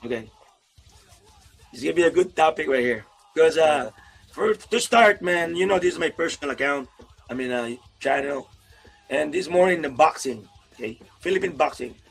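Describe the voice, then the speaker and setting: A man's voice: fairly high pitch, expressive, normal speed, gravelly, soft background music. A man hosting a casual interview over a video call, friendly and rambling, laughing often. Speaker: YouTube host, interviewer.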